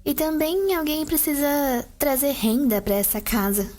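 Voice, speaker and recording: A woman's voice: high voice, animated, unhurried, a little mellow. A woman playing a vain, playful vampire sister who teases, in an ASMR roleplay drama. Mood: smug, playful. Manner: flirtatious teasing, mocking, playful. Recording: music behind the voice.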